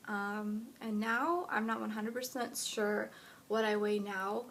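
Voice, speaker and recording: A woman of twenty-one with an American accent, chatting casually to followers as a fitness vlogger. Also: medium pitch. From United States, lived in Honduras when younger. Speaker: fitness blogger introducing self to followers. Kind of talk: casual vlog chat answering questions. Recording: clear steady background noise.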